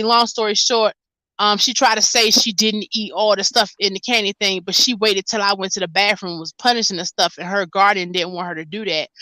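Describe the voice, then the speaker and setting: Bright, high-voiced hairstylist woman. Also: no echo, poor audio. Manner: animated storytelling, laughs often. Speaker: podcast host and hairstylist. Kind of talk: casual chat.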